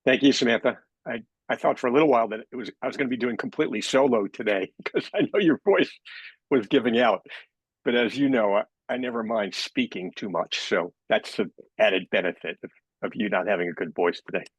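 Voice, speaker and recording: Brief: husky man, company director explaining rules on a webinar, talkative, stumbles on the first words of phrases, dry close sound. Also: on the low side, fairly quick, dry recording, poor audio. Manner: talkative, repeats words when starting phrases. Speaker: company director and guest expert.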